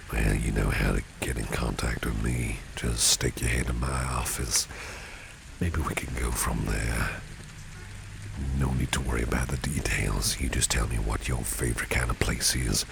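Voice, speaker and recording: Casting: male actor as suave protective boss for ASMR roleplay, extremely low, heavily creaky voice, flirtatious and soft-spoken. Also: full character voice. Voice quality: gravelly, breathy near-whisper. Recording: steady rain noise behind the voice. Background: rain and street ambience.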